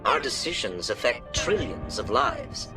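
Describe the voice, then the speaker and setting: Lively-pitched skeptical alien councilor. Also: male voice, a little slow. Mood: stern, cautious. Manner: formal, emphatic. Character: skeptical male alien councilor, cautious and official. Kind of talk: video game voice acting. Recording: music behind the voice.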